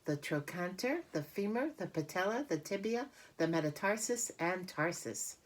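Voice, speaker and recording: A woman's voice: on the low side, lively intonation, normal speed, pitched above the performer's own voice. A woman voicing a clever, patient female spider in a storybook, instructing frankly and matter-of-factly.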